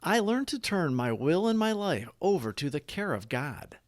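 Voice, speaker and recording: A man narrating scripted devotional reflections and prayers in measured, deliberate short phrases. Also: fairly high pitch, big pitch swings, no room sound, clean studio sound. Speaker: narrator of daily recovery readings.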